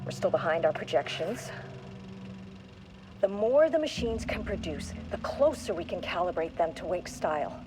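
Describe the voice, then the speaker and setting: A woman's voice: fairly low pitch, animated, moderate pace, music in the background, natural voice. A woman playing an obsessive, coldly ambitious research scientist, clipped and clinical, recording a log. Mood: driven, frustrated.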